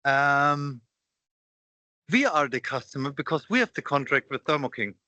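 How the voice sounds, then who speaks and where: Male call participant, sharp-edged voice with a dry close sound, challenging in his questions. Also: on the high side, lively intonation, moderate pace, dry recording. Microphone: online conference call.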